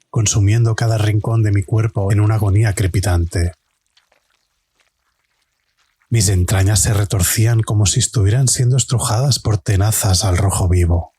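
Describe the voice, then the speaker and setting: A man's voice: on the low side, mellow, slightly rough, no echo. A man playing a suffering hero of a supernatural tale, narrating an audio drama in the first person. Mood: agonised, intense. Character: suffering young male hero surviving a supernatural trial.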